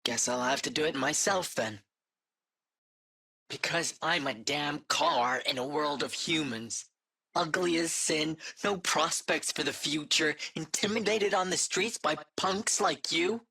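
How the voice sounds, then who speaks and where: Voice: medium pitch, a little slow, vocal fry, sharp tone, slightly rough, full character voice. An actor voicing a bitter, insecure, self-pitying talking car in a video game, blunt and sullen in manner. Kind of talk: scripted video game voice acting.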